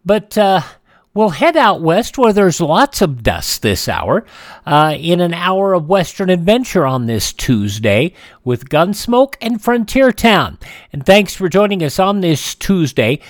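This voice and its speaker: A man hosting a classic radio program, chatting in a relaxed, rambling way with frequent fillers. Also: high voice, a little slow, dry recording, studio quality. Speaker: radio show host. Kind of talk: casual radio host chat.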